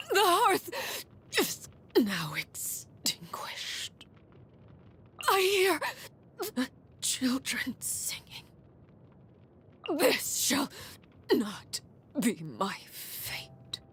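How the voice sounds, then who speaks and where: A woman voicing a cold, composed and menacing female commander in a video game, speaking in a measured, formal way with soft, breathy phrase endings. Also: high-pitched, huge pitch swings, full character voice. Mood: fading, defeated. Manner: measured, formal, controlled delivery. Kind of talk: scripted video game character voice lines.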